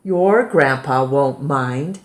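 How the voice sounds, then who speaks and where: A woman voicing a grieving, gentle father in a storybook, quiet and solemn. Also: extremely low pitch, slow. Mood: solemn, reassuring. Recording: roomy, echoing indoor sound.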